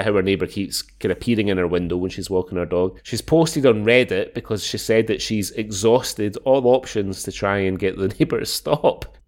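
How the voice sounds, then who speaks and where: A man with a lowish voice, roughened and deepened by a cold. He chats casually on a podcast call, stammering through restarts and laughing easily. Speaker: podcast co-host. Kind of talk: casual chat. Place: home in Dubai.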